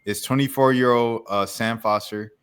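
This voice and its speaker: A man's voice: medium pitch, fairly even intonation, normal speed, fairly bright tone, clear, resonant tone. A young man hosting a podcast in casual, easygoing chat full of slang. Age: young adult. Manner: easygoing, chuckling, drifts into slang. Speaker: podcast host.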